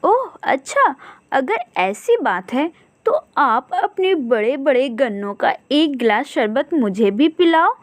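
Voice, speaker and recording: A woman voicing a small, firm and sharp-tongued female bird shopkeeper in a cartoon, scolding and emphatic. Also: sits high, very animated, slow pace, no echo, full character voice. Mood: feigned surprise.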